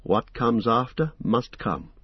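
A man's voice: medium pitch, slow, slightly rough, dry recording, dull audio. Frodo, a man reading a grave resolute hobbit. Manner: steady, solemn. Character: resolute young male hobbit, grave and calm.

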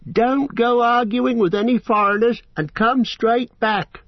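A man voicing an anxious middle-aged hobbit farmwife, shrill and scolding. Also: steady tone, a little slow, no echo, lo-fi sound. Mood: fretful.

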